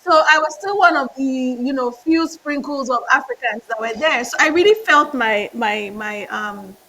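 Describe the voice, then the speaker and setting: A Nigerian woman in her thirties with a Nigerian accent, telling stories as a podcast guest, animated and laughing often. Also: high voice, moderate pace, poor audio. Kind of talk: casual interview storytelling.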